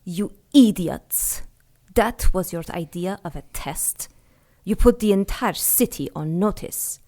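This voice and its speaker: A woman's voice: lowish voice, slow pace, darkish tone. An actress playing a scornful, commanding cult seneschal in a pulp radio drama, condescending to those beneath her. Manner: condescending, commanding, clipped orders. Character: imperious, scornful female seneschal of secret sinister cult.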